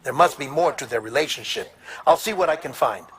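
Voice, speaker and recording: An actor playing an earnest, troubled investigator who briefs a colleague and probes theories with pointed questions in a video game. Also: male voice, medium pitch, lively intonation, a little fast, heavy background noise, natural voice. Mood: urgent, speculative. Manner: earnest, speculative, probing with rhetorical questions. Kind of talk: dramatic video game dialogue, briefing by radio. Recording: music behind the voice.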